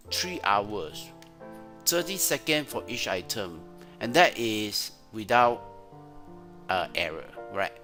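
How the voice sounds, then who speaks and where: A man's voice: medium pitch, pushed and bright. A man with a Malaysian accent giving a screen-share tutorial as a trainer, often tagging his sentences with "right?". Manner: frequent "right?" tag questions. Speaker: Excel trainer. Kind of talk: screen-share software tutorial with sales pitch. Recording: music playing behind the voice. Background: clear background music.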